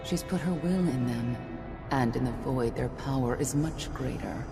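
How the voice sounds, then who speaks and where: Very deep-voiced, assured witch. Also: over music. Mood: assured, soothing. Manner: measured, assured, reassuring. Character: calm older-sister witch, devout, quietly menacing confidante. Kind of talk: dramatic game voice acting.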